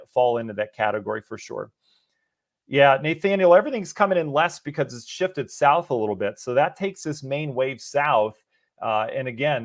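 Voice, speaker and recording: Male TV weatherman, mid pitch, slightly hoarse and sniffly, relaxed live Q&A, dry close sound. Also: dry recording. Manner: easygoing, chatty, answers viewers by name. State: losing his voice, a bit sniffly. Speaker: meteorologist. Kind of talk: live-stream viewer Q&A on the weather.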